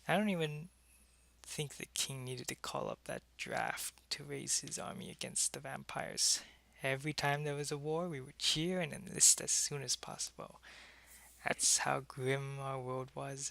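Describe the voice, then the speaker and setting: A man playing a wistful, friendly, centuries-old vampire in an intimate, soft-spoken ASMR roleplay, talking casually and often slipping toward a whisper. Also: mid-range voice, fairly even intonation, normal speed, vocal fry. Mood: reflective, grim.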